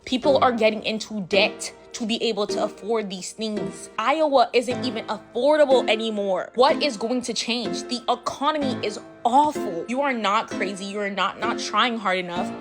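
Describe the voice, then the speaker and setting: A woman talking earnestly and emphatically to camera. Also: medium pitch. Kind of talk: ranting to camera. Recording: clear music under the voice.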